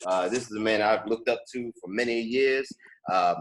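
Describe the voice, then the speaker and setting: A man's voice: lowish voice, moderate pace, slight background noise, low-quality recording. Effusive man hosting a podcast. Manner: effusive, praising, slangy. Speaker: podcast host. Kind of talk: casual podcast interview.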